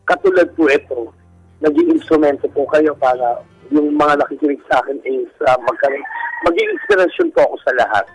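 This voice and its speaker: A Filipino man speaks as a guest, sharing personal experiences in a polite, casual way. His voice is husky and animated, with music in the background. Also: on the high side, brisk, low-quality recording. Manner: polite, using respectful particles. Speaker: guest telling their own story.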